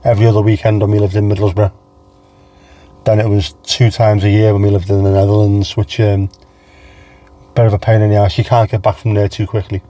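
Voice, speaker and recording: A man's voice: lowish voice, level tone, a little fast, warm tone. A man with a mild Scouse accent, chatting casually on a livestream and answering viewers by name in a rambling way. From England. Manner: chatty, rambling, reads out chat names and answers them. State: low on energy. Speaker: livestream host.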